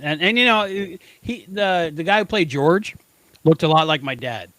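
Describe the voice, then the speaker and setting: A middle-aged man co-hosting a podcast, chatting casually about pop culture in an animated, slangy, emphatic way. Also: a little high, normal speed, no room sound. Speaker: podcast co-host.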